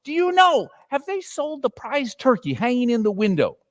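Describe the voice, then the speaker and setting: A man's voice: very high-pitched, huge pitch swings, normal speed, no echo, studio quality, voice pushed up, exaggerated voice. A man playing an elderly reformed miser, exuberant and exclamatory, in a theatrical reading of a Victorian story. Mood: giddy delight. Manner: exclamatory, exuberant, chuckling old gentleman. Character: elderly miser, newly reformed, giddy with joy.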